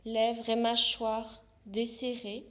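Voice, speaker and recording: Woman, yoga nidra guide, slightly high pitch, very slow pace, calm, soothing, short phrases with pauses. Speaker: yoga therapist guiding relaxation.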